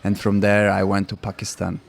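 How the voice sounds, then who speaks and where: A man's voice: lowish voice, fairly quick, creaky. A young man from Switzerland with Croatian roots, a non-native English speaker, being interviewed on radio and laughing easily. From Switzerland, Croatian family. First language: not English. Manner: relaxed, laughs often, simple non-native phrasing. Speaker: guest cyclist.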